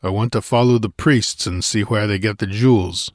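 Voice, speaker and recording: A man voicing a brawny barbarian swordsman in an audiobook, gruff and curt, giving orders in a grunting, hard-bitten way. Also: lowish voice, normal speed, no room sound, studio quality, lightly acted. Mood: gruff commanding.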